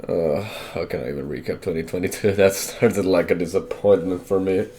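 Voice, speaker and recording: Deep-voiced young man, halting and candid. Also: steady tone, warm tone, slight background noise. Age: young adult. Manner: halting, hesitant, self-correcting, candid. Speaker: vlogger. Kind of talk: personal life update.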